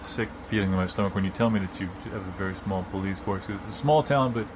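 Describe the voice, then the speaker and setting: A man playing a stubborn, opinionated rambler in improvised comedy, arguing bossily in a halting, repetitive way. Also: sits low, natural voice. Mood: earnest, rambling. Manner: bossy, long-winded, argumentative. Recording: background noise, informal non-studio room.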